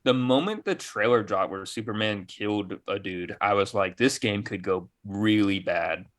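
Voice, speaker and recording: Sarcastic, exasperated man with a lowish voice. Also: normal speed, fairly bright tone. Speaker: gaming podcast panelist. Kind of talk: casual, profane panel banter.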